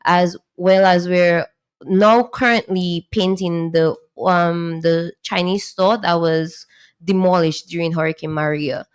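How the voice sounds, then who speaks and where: Smooth-voiced young woman. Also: fairly low pitch, fairly even intonation, slow. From Dominica. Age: 20s. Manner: earnest, says "as well as" often. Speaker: pageant contestant. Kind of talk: radio interview answers. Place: radio studio.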